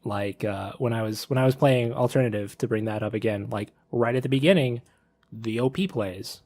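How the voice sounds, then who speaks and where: A man's voice: medium pitch, normal speed, darkish tone, no echo. A man co-hosting a podcast in casual chat, hesitant with many fillers. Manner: hesitant, many "uh" and "um", asks questions. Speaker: podcast co-host.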